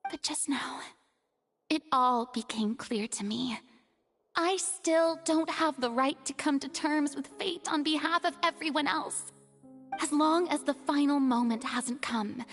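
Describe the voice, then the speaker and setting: A woman's voice: high-pitched, big-room sound. A woman playing a proud, theatrical young female god of justice in a video game, hiding a burden. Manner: theatrical, haughty, dramatic pauses.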